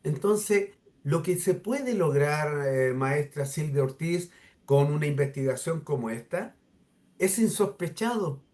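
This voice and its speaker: Chilean-accented man, middle-aged, slightly raised pitch, formal and hesitant, lots of fillers. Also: animated, a little slow, warm tone. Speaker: interviewer. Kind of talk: formal discussion, addressing a researcher.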